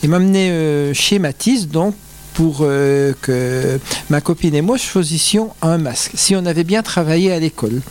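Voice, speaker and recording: Older male interviewee from the Vosges, slightly raised pitch, reflective storyteller, conversational and a bit hesitant. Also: expressive, normal speed, a little mellow. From France, Vosges. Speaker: interview guest, carnival founder.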